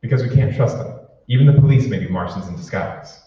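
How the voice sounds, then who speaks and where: A man's voice: mid-range voice, quick pace, natural voice. A man playing an anxious, furtive conspirator in an old-time radio drama, with a hesitant, secretive delivery. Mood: insistent. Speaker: amateur stage actor. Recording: roomy, distant, dull hall recording with hiss.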